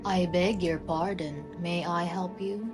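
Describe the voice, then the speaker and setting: Young woman doing a polite phone operator, low slow voice, formal, radio play with loud music. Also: steady tone. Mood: impassive. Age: young adult. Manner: formal, scripted, impassive. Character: polite, unflappable female telephone operator.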